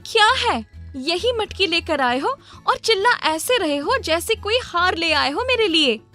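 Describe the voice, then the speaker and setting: Woman acting a high-pitched, nagging village wife. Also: animated, a little slow, pushed and bright, faint music behind, heavily acted. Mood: scornful. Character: poor farmer's wife, plain-spoken and nagging.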